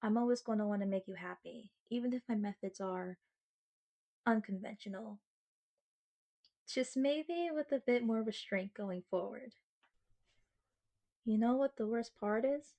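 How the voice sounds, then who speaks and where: A woman's voice: a little high, dark tone. A woman acting a sweet, sheepish girlfriend in an ASMR roleplay, chatting earnestly and bashfully to the listener with playful self-mockery. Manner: chatty, halting, bashful with dramatic mock seriousness.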